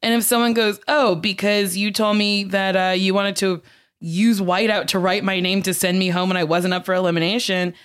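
A woman's voice: medium pitch, flat delivery, moderate pace, smooth. A young woman talks in a loose, joking way as a guest on a podcast. Her voice is a bit scratchy today, and she drops the odd swear word. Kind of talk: casual chat.

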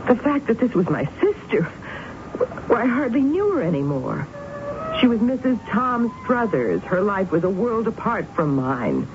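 A prim, fussy recluse. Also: female voice, a little high. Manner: fussy, guarded, clipped. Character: prim reclusive spinster woman, fussy and cold. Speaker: narrator and lead character. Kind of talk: 1970s radio drama acting. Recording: muffled old radio broadcast with hiss.

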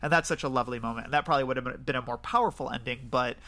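A man with a bright, forceful voice at an average pitch. He hosts a film podcast, talking casually and with enthusiasm. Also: racing. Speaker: podcast host.

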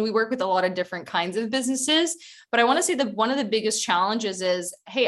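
A woman from Ukraine, a recruitment consultant, giving a conversational presentation over a video call, chatty with small laughs and quick restarts. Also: medium pitch, a bit bright.

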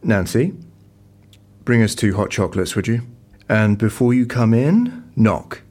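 Dry, casual male boss, husky low voice, audio drama banter. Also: expressive, measured pace, a little mellow. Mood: casual, bossy. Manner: understated, dry. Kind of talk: naturalistic audio drama dialogue.

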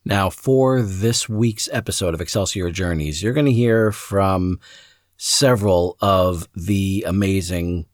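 A man with a fairly low voice introduces a podcast episode. He addresses his listeners directly with enthusiasm and gratitude. The sound is dry, with little room around him. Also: slow pace, no echo. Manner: enthusiastic, appreciative. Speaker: podcast host.

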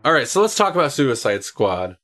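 A man hosts a podcast in a medium-pitched voice. He talks casually about film in a loose, conversational style. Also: very animated, a little fast, a bit bright, soft background music. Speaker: podcast host.

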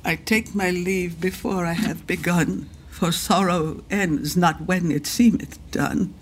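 An actress playing a grieving older widowed duchess in a Shakespearean radio drama, speaking husky, emotional formal verse. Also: female voice, lowish voice, takes it slowly, slight background noise. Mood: grieving, desolate.

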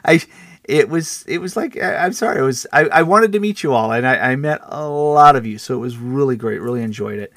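A man's voice: mid-range voice, lively intonation, fairly bright tone. Chuckling, rambling man chatting casually. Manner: rambling, halting, self-interrupting, chuckles while talking. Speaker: podcast co-host. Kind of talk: casual chat and storytelling.

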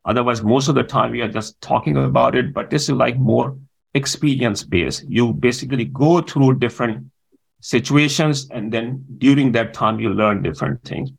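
A man in his forties with a gravelly, creaky voice. His is Urdu, and he answers interview questions at a middling pitch, pausing often on "uh". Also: low-quality recording. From Pakistan. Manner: hesitant, with frequent "uh" fillers. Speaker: guest.

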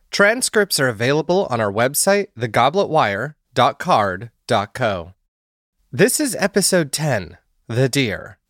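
Lively male announcer, very dry sound. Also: mid-range voice, no echo. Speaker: show announcer. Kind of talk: scripted podcast intro.

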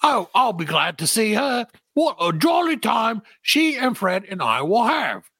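A man reading a gushing young woman's lines in a high falsetto, sing-song and excited. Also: measured pace, vocal fry, husky, dry recording, studio quality, heavily acted.

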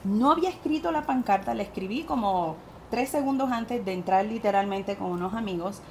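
A woman with a Puerto Rican accent, a guest medium telling her story in an animated interview. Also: medium pitch, a little fast, slight background noise. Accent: Puerto Rican Spanish. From Puerto Rico.

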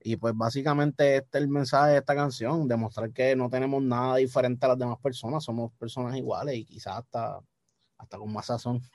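A young man with a Puerto Rican Spanish accent, talking casually as a guest musician, relaxed and repeating words as he thinks. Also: medium pitch, brisk, no room sound, clean studio sound. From Puerto Rico. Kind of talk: casual interview chat.

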